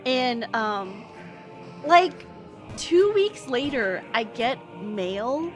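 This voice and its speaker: A woman's voice: a little high, expressive, very slow, faint music behind. A young American woman streamer telling a story in a casual, rambling way, with many fillers and restarts. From United States. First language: English.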